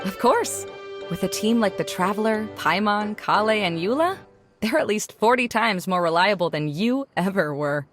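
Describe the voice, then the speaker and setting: A woman's voice: medium pitch, animated, normal speed, faint music behind, lightly acted. A woman playing a dry, teasing, perceptive young woman in a video game cutscene, composed in manner. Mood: wry. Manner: wry, composed.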